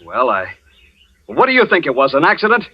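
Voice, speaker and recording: A hot-tempered young tough, jealous and spoiling for a fight, in an old radio drama. His gruff, slangy threats swing high and low. The vintage radio recording sounds dull and lo-fi. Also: wildly swooping pitch, a little fast, exaggerated voice. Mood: angry. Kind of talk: 1940s radio acting.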